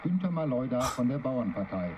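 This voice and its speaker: A man's voice: medium pitch, sing-song, fairly quick. A man delivering a scripted broadcast news report as a television reporter.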